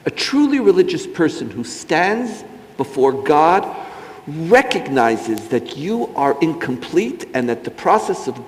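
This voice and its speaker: Rabbi panelist, male, outspoken and emphatic, animated delivery, reverberant hall. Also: medium pitch, slight background noise. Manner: emphatic, outspoken, mocking in asides. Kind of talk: impassioned panel talk.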